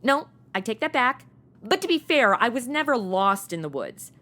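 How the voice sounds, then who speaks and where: An irreverent young woman in a comedy radio play. Her voice sits high and swings animatedly as she chats away. She is sassy and flippant, with casual modern phrasing. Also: big pitch swings, normal speed, bright, forceful tone. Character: flippant, chatty young woman posing as Robin. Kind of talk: comedy audio drama acting.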